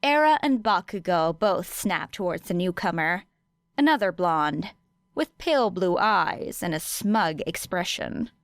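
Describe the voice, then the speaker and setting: Smooth, clear woman narrating a story. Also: fairly low pitch, expressive, measured pace, no echo, clean studio sound. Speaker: audiobook reader of fan fiction. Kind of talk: scripted third-person story narration.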